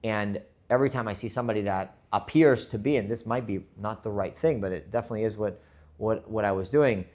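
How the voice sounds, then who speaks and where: Earnest man from Spain, lowish voice. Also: a little fast, darkish tone. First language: Spanish. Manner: earnest, repeats words as he restarts. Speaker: podcast host. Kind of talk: motivational monologue.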